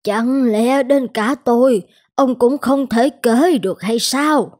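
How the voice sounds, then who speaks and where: A caring cartoon wife, really slow talker, higher voice, dry sound. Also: female voice. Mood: concerned. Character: gentle, concerned wife of an aging sword master. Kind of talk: dubbed cartoon drama dialogue.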